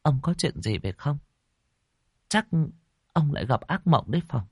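A fretful old wife, voiced by a male narrator, pleading in a high, soft voice with a lively, wavering pitch. The recording is dry and close, with no room sound. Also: moderate pace, breathy edge, no room sound, full character voice. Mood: concerned. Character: worried elderly wife.

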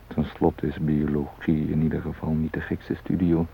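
An actor playing a self-possessed man posing as a doctor among hostages, musing in measured, clipped phrases in a radio drama. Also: rumbling low, level tone, creaky. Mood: musing, wry. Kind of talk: radio drama interior monologue. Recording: muffled phone-like old radio recording, hiss.

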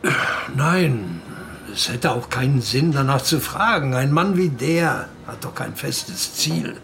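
An actor playing a talkative, excitable ship chandler with a gravelly voice, telling things emphatically in a German radio play. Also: male voice, mid-range voice, takes it slowly, a little hiss or hum, heavily acted. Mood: aggrieved, chatty. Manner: garrulous, emphatic storytelling.

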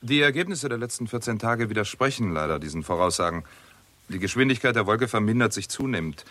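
An actor playing a formal, courteous astronomer reporting findings in a precise, measured way in a 1960s German radio play. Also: male voice, lowish voice, animated, a bit bright, no room sound. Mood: serious, exact.

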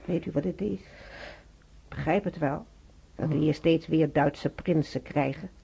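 An actress playing a rambling, opinionated, gossipy woman in a radio play, with a husky voice on a dull old recording. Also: low-pitched, unhurried, warm tone, exaggerated voice. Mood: defensive, opinionated. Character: gossipy, opinionated chatterbox, mildly defensive. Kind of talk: naturalistic radio play dialogue.

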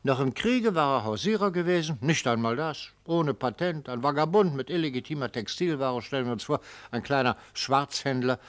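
A man's voice: medium pitch, animated, moderate pace, few pauses, lightly acted. An actor playing a sly, gleeful retired prosecutor in a radio play, an older man speaking in florid, theatrical rhetoric. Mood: dramatic, insinuating. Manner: theatrical, rhetorical, relishing every phrase. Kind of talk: German radio play acting.

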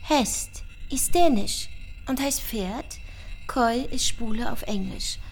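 An actress playing a gifted, coolly factual cold case analyst in a radio drama, speaking precisely like a researcher. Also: female voice, on the high side, expressive, slow.

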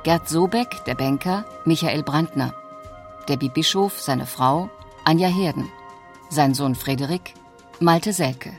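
Low-voiced woman announcer over music. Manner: measured, deliberate reading. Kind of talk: scripted credits announcement.

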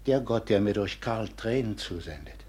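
A grief-stricken, honourable old father in a classical radio drama. The voice is lowish and heavily husky, breathy with age, and moves with solemn pathos through broad swells of pitch. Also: lively intonation, normal speed, a little mellow. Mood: sorrowful, tender. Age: older. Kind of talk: classical German radio play acting.

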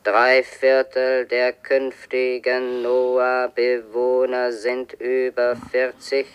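An actor playing a sober, troubled man who speaks a measured, halting monologue in a 1970s radio play. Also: mid-range voice, completely flat delivery, slight background noise. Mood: measured, detached. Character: sober, troubled man recording his fateful decisions.

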